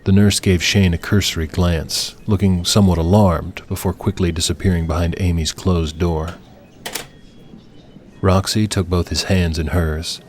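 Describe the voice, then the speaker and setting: A man's voice: deep voice, fairly even intonation, moderate pace, slight background noise. A man reading scripted dramatic fiction as an audiobook narrator.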